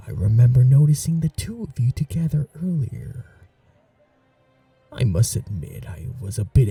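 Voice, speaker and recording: A man voicing an ancient royal vampire lord in an ASMR roleplay, suave and flirtatious, speaking slowly with low breathy whispers. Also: far from the mic, exaggerated voice. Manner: slow, seductive, softly whispering.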